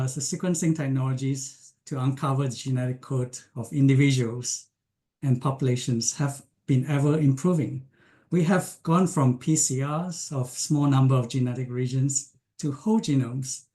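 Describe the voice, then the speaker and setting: A man's voice: mid-range voice, a little mellow. Hesitant man from Malaysia lecturing. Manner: hesitant, many ums and restarts. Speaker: scientist giving a lecture. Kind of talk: online lecture presentation.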